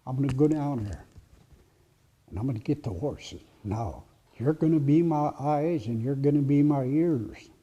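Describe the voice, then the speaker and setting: A man voicing a calm, seasoned older horse raider who gives firm, low, commanding instructions to a boy. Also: moderate pace, breathy edge, highs cut off. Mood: hushed.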